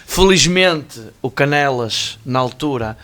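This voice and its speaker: A man with a mid-pitched voice, telling friendly anecdotes on a radio sports panel. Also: expressive, slow, fairly bright tone. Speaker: panel commentator.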